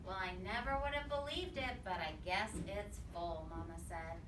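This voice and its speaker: A woman's voice: medium pitch, normal speed. A woman reading a picture book aloud to a young child, explaining simply and prompting with questions. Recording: echoey room, distant mic, clear background noise.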